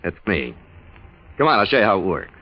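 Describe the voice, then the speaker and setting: Low-voiced, scheming radio noir tough. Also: male voice, sing-song, a little fast. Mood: brisk, pushy. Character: scheming, smooth-talking waterfront tough guy. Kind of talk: 1940s radio noir acting. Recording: dull vintage radio recording.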